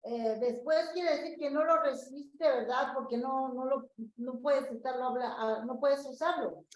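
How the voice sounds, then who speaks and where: A woman's voice: high-pitched, moderate pace, reverberant, low-quality recording. A woman interpreting a sermon, fluently repeating and expanding each phrase for the congregation.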